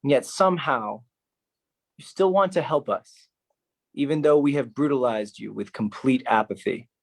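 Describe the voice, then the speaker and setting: A man's voice: medium pitch, normal speed. A man delivering a theatrical spoken-word address in a solemn, declamatory manner.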